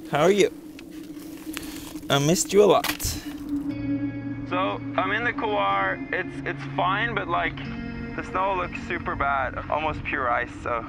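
A young man on a snowy mountain ski tour, chatting casually and excitably into a body-worn action camera, swearing and laughing often. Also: sits high, a little slow, gravelly, pauses often, loud music behind the voice. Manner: excitable, swears, laughs a lot, says "dude". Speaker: skier and vlogger. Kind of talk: casual outdoor chat while ski touring. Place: outdoors on a snowy mountain couloir.

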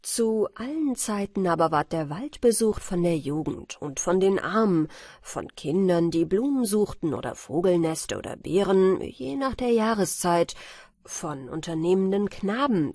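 A woman reading bedtime fairy tales as an audiobook narrator, in a measured, carefully articulated storytelling manner. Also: on the low side, a little mellow, no echo, clean studio sound.

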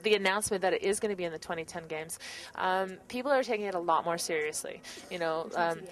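A woman athlete telling her story in a lively chatty way. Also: on the low side, rough recording. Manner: quick, storytelling. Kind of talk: casual interview answers.

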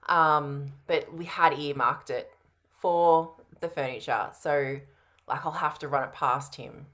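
A woman's voice: low voice, measured pace, a bit bright. A woman in her thirties on a video call from home, answering casually as the prospect in a sales roleplay. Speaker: coaching trainee playing a sales prospect.

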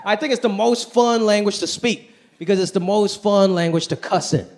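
A man in his thirties from Hong Kong performing a stand-up comedy set on a theater stage into a handheld mic, animated and swinging his pitch for punchlines. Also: normal speed. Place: large theater stage with live audience. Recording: live show with faint crowd and room noise.

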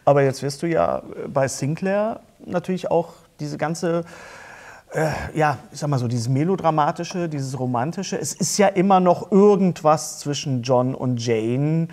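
A middle-aged man hosting a casual studio interview, halting and rephrasing his questions as he goes. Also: fairly high pitch, darkish tone. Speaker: talk-show host. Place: small indoor talk-show studio.

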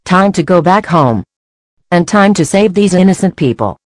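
A woman's voice: lowish voice, lively intonation, normal speed, dry recording, lightly acted. A woman playing a determined, stern heroine in a dramatic fan drama monologue. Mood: stern resolve. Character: determined heroine Monika ending a villain's rampage.

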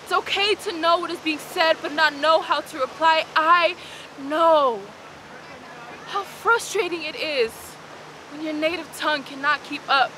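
Young woman poet, high voice, rhythmic emphatic spoken word, recorded outdoors with loud crashing surf behind. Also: measured pace, a little hiss or hum, low-quality recording. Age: young adult. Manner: rhythmic, emphatic performance delivery. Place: rocky beach outdoors. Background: crashing ocean waves.

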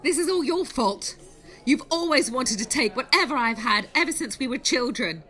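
A woman's voice: high-pitched, animated, normal speed, pushed and bright, faint music behind. A woman playing a bitter, betrayed wife in an audio drama, accusing and dramatic. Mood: furious. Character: bitter, furious betrayed wife in village murder mystery.

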